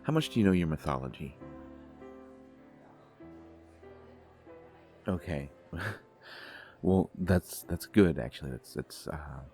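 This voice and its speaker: A man playing a shy, gentle young god of desire in an intimate audio date roleplay, speaking politely with many hesitations and stammers. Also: extremely low pitch, expressive, normal speed, soft background music. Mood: sheepish, amused.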